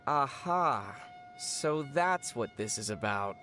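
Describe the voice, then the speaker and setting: A young adult actor playing a calm, gently teasing traveler hero in scripted video game dialogue. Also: medium pitch, slow pace, music in the background. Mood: wry, teasing.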